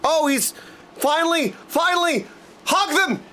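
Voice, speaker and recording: A man giving casual, excitable, jokey live commentary as he streams a game. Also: very high voice, unhurried, slight background noise. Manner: excitable, jokey, bursts of exclamation.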